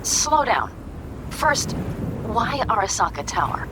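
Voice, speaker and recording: A woman's voice: medium pitch, expressive, measured pace, noisy background, natural voice. An actress playing a fiery, fiercely loyal young nomad woman in a video game, with a blunt, bossy, no-nonsense way of talking. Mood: concerned, probing. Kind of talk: naturalistic video game acting. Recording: loud music over dialogue. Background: loud music.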